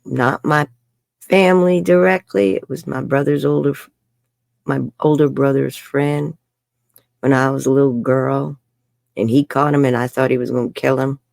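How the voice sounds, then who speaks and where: A woman's voice: a bass voice, fairly even intonation, normal speed, vocal fry, a little mellow, no room sound. A woman, telling her life story frankly as an interview guest and laughing often. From New York. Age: 70s. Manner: frank and chatty, laughs often, says "bloody". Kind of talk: candid personal storytelling in an interview.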